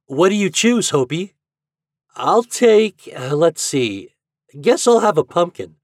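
A man voicing excitable, teasing young boys in a storybook, exclaiming boisterously. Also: on the high side, normal speed, no room sound, clean studio sound, pitched above the performer's own voice. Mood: eager.

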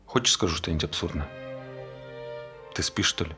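A man's voice: sits low, normal speed, over music, lightly acted. An actor playing a man who earnestly explains at length that he is a robot. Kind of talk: stage play acting.

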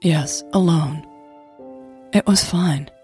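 A woman's voice: low voice, slow pace, warm tone, soft background music. A woman playing a guilty, guarded, shy woman in an audio drama, hesitant and apologetic. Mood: reluctant, vulnerable.

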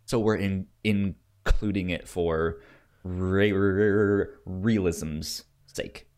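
Low-voiced man, halting casual film chat. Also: fairly even intonation, darkish tone. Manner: halting, repeats words while searching for phrasing. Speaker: podcast co-host discussing a film.

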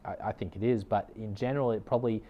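Upbeat Australian man, lowish voice. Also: steady tone, fast, narrow, tinny audio, a little hiss or hum. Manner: earnest, upbeat, repeats key lines for emphasis. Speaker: leadership consultant and podcast host. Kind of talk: direct-to-camera motivational talk.

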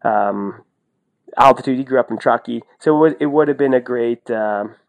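A man's voice: medium pitch, moderate pace. A man chatting casually as a sports podcast co-host, talkative and colloquial, often repeating himself for emphasis. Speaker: podcast co-host and sports commentator, former athlete.

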